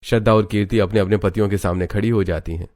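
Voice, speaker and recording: A man with a low voice serves as narrator of a moral family tale. His delivery is scripted, dramatic storytelling. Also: fairly even intonation, fast, a little mellow.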